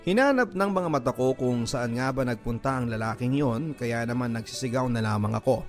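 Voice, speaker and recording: A man's voice: mid-range voice, darkish tone. Filipino man narrating sentimental drama over music. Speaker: radio drama narrator reading a listener's letter. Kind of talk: dramatic scripted first-person storytelling. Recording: music bed under the voice. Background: background music.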